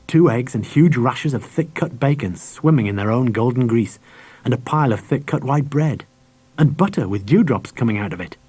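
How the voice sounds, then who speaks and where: Husky male storyteller, close and dry. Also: mid-range voice, lively intonation, moderate pace, darkish tone, no echo. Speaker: audiobook narrator. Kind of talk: scripted children's story narration. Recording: dry cassette audiobook transfer.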